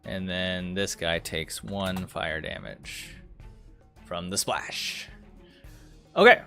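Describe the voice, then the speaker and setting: Bright-voiced man as game master. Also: low voice, slow, vocal fry, faint music behind. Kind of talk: running a tabletop roleplaying game, casual rulings.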